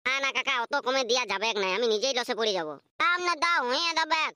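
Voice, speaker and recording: A woman's voice: sits high, lively intonation, quick pace, pushed and bright, clean tone, no echo. A woman voicing a stubborn village duck seller in a comedy cartoon, haggling insistently with a Purulia Bengali accent.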